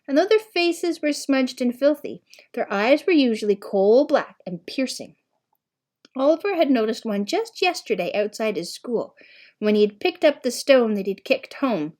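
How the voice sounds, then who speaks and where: A woman reading a children's novel aloud as scripted narration, with an expressive, measured storytelling manner. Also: a little high. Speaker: audiobook reader.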